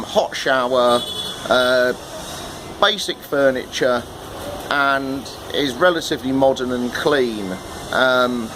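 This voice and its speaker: Chatty man vlogging from a noisy balcony. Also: medium pitch. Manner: chatty, repeats and restarts words. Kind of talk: casual vlog talking to camera. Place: outdoor balcony.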